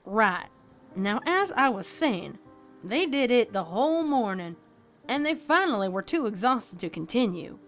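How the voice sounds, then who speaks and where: A woman voicing a deadpan cowgirl pony who tells a spooky tale in a folksy, dramatic way for a fan fiction audio story. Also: a little high, unhurried, full character voice. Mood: steady, suspenseful. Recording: background music under the voice.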